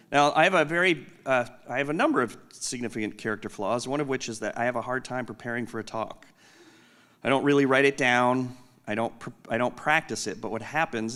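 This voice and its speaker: A man with a loud voice giving an unscripted conference keynote from a stage, candid and self-deprecating with joking asides. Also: medium pitch. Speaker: company executive giving a keynote.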